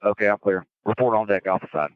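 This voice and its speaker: A man's voice: fairly low pitch, fast, clean tone, no room sound. A man acting as fire incident commander, giving clipped, businesslike orders over a two-way radio. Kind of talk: terse emergency radio dispatches. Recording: dull, band-limited fireground radio traffic.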